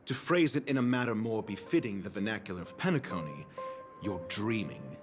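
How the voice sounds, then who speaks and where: Male actor as haughty, low-voiced scholar with swooping intonation. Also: expressive, natural voice. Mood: sardonic. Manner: pompous, formal, deliberate phrasing. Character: haughty male scholarly doctor, coolly disdainful and sardonic. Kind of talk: video game voice acting. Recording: background music under dialogue. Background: music.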